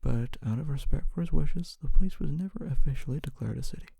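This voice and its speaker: A performer voicing a kindly, humble fantasy city guard in a soft-spoken ASMR roleplay, often whispering, with a gentle, hesitant and reassuring manner. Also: medium pitch, quick pace, gravelly, slightly rough, no echo. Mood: gentle, chatty, hesitant. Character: kindly, humble city guard, wannabe knight, calming and helpful.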